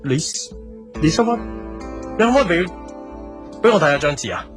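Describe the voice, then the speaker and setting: An actor with a Hong Kong Cantonese accent playing a friendly, chatty young café owner in a radio drama, easily flustered. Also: male voice, fairly high pitch, sing-song, a little fast. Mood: flustered, protesting. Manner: chatty, eager, stammers when flustered. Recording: music behind the voice.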